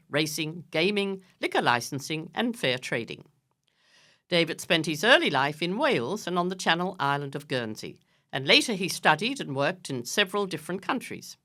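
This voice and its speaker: Woman radio host, deep voice with a lively, animated lilt, polished interviewer.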